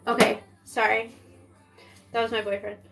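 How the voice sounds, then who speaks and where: A young woman from Maine talks through a live stream in a loose, rambling way, peppered with fillers. Her voice sits a little high. She sounds like she is chatting from home into a phone. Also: level tone, moderate pace, creaky, a bit bright, soft background music. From Maine, United States. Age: 20s. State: pregnant. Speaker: makeup artist streaming live. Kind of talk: casual live-stream chat.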